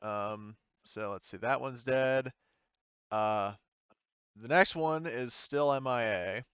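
A man's voice: medium pitch, slow, a bit bright, smooth, no room sound, lo-fi sound. A man running a tabletop game as game master, casually thinking aloud and sometimes swearing.

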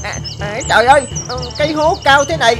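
An actor playing a boastful, greedy forest animal in an animated fairy tale, with theatrical delivery. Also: high voice, a little fast, natural voice. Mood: panicked, pleading. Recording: music behind the voice.

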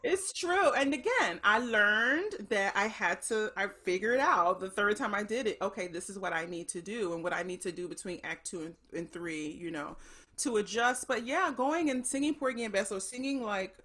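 A woman's voice: medium pitch, animated, normal speed, sharp tone. A middle-aged woman, an opera soprano, chatting on a video call from her living room, thoughtful and full of restarts. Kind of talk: casual chat on a video call.

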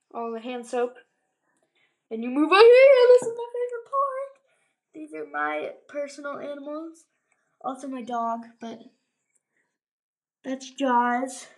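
A young girl filming a house tour on a handheld phone indoors at night, rambling and playful with sudden exclamations. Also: high-pitched. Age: child. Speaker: vlogger. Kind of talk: house tour vlog, casual chat. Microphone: handheld phone camera.

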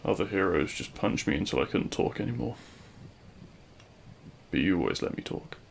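A man playing a regretful, lovelorn supervillain in an audio roleplay, speaking in a hushed, halting, wistful way. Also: low voice, lively intonation, creaky, a little hiss or hum, heavily acted. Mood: regretful, empty. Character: regretful lovelorn male supervillain addressing his fallen hero. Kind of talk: scripted ASMR audio roleplay monologue.

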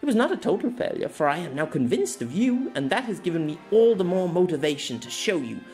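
A man voicing a confident, playful young noblewoman swordfighter in an audio story, soft-spoken but commanding, with grand archaic phrasing. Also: sits high, sing-song, brisk, soft background music, voice pushed up. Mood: bright, determined.

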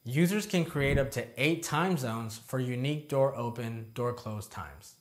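A man's voice: medium pitch, measured pace. A young adult man presenting a product overview in a scripted narration, reading out short headings in a structured, informative way. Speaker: presenter of product review videos. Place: indoor studio with plain backdrop.

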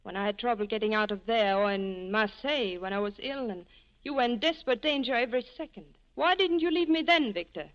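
An actress playing a devoted, anxious wife, earnest and pleading, in 1940s radio acting style. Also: female voice, fairly high pitch, lively intonation, fairly quick, lightly acted. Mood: insistent. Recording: dull 1940s radio broadcast recording.

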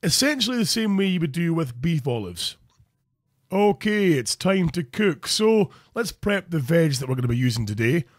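A man's voice: on the high side, normal speed, no echo, studio quality. A Scottish man hosting a crude comic cooking show, narrating recipes with jokes. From Scotland. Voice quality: crude jokey, animated delivery. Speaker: comedy cooking show host.

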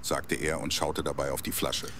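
A man narrating a scripted audio drama in a measured storybook delivery. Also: a bass voice, level tone, background noise.

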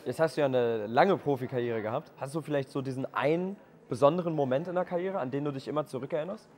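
A man's voice: medium pitch, fast, darkish tone. Chatty young male sports interviewer. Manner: friendly, chatty, adds tag questions. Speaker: sports show host. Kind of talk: casual interview questions.

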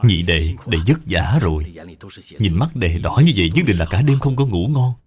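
A man's voice: deep voice, animated, moderate pace, a little mellow. A man voicing a weary, troubled merchant, the grave elder brother in a dubbed cartoon. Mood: grateful.

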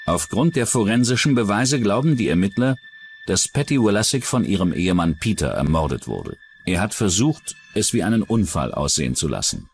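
A man's voice: fairly low pitch, slight background noise. A man narrating a true-crime documentary from a script as its narrator.

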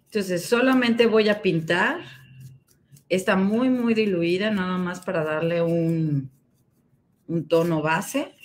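A woman's voice: fairly low pitch, slow pace, slight background noise. A Mexican woman demonstrating a craft project, explaining each step as she works. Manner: explains step by step, warm and relaxed.

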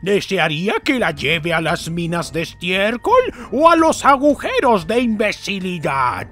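A man's voice: high voice, very animated, a little slow, fairly bright tone, faint music behind, heavily acted. A man voicing a pompous, indignant little mushroom guard captain in a cartoon. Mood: officious.

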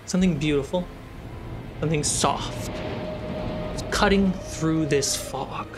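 Male game master, higher-pitched and unhurried, suspenseful fantasy narration over music. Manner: slow, suspenseful phrasing with pauses.

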